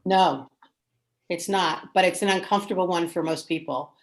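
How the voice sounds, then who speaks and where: A woman's voice: lowish voice, moderate pace. A woman speaking earnestly and frankly as a panelist in an online discussion. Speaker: panelist with planning background. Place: remote video call. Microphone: video call.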